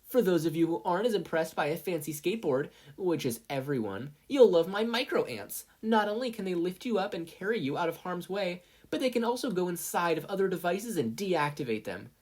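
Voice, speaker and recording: A narrator voicing a smug teenage girl inventor who pitches in a peppy, taunting way in a story reading. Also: sing-song, a little fast, hardly any pauses, darkish tone. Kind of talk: podfic character voice.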